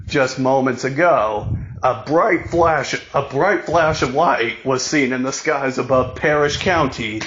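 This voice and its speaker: Slow, solemn man reading a mock emergency alert. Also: mid-range voice, fairly bright tone, highs cut off. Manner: slow, solemn announcer reading with stumbles and repeats. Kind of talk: mock emergency broadcast announcement.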